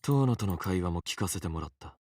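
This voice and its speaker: A cool, defiant young man in a visual novel. The voice is low and dry, with blunt, quick, accusing delivery. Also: lively intonation, darkish tone, husky, no room sound. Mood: cool, terse. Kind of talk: Japanese visual novel voice acting.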